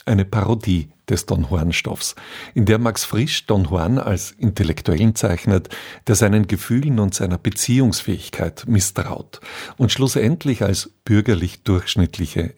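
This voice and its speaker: A man presenting on radio, giving a composed scripted introduction in a husky, mellow voice. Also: on the low side.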